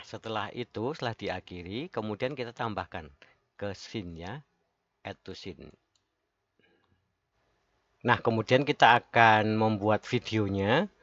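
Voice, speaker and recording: A man giving a step-by-step software tutorial as its presenter, recorded as a voice-over. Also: on the low side. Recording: dull lo-fi voice-over.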